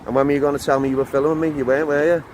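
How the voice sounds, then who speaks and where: A man's voice: a little high, little pitch movement, rapid-fire, a little mellow. A man with a Scouse accent arguing with police officers in the street, combative and repetitive, firing accusations. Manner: combative, repeats himself, accusing. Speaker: auditor filming police. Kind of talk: heated street confrontation. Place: outdoors by a police station.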